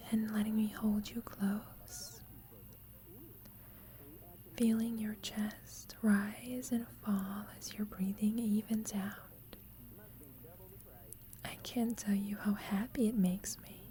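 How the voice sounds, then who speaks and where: A woman playing a teasing, affectionate young roommate with a secret crush in an ASMR roleplay, speaking softly and breathily, often in a whisper, with playful, tender gentleness. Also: medium pitch, fairly even intonation, slow, pauses often, close to an everyday voice. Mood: tender, confessional. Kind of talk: ASMR roleplay, intimate sleep-aid audio.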